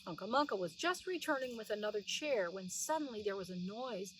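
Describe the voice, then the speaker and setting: A woman's voice: mid-range voice, lively intonation, fairly quick, fairly bright tone. A middle-aged woman reads a children's story expressively and explains things simply for young listeners. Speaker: children's read-aloud narrator. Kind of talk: expressive storybook reading with plain asides to explain words. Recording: distant mic with faint background noise.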